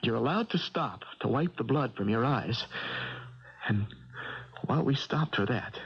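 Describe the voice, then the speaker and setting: Casting: haunted boxer, colloquial, stumbling over words, lively pitch swings, dull vintage radio sound. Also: male voice, breathy edge, heavily acted. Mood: earnest recounting. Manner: halting, repeating words, intense, colloquial. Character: troubled, earnest tough prizefighter haunted by a dream. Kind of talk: 1970s radio drama acting.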